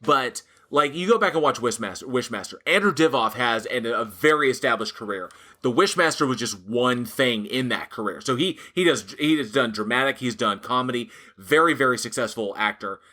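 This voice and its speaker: A man's voice: medium pitch, lively intonation, a little fast, few pauses, pushed and bright. A man co-hosting a casual talk about horror films, rambling, swearing freely and laughing often.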